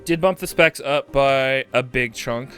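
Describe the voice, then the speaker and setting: A man's voice: medium pitch, a little slow, a bit bright, faint music behind. A man hosting a game livestream, chatting casually and exclaiming often, with sweary asides. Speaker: livestream host.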